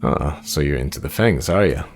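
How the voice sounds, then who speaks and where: A man's voice: rumbling low, animated, normal speed, a little hiss or hum, pitched below the performer's own voice. A man voicing a blunt, joking adventurer in a fantasy game, breezy, chummy and teasing.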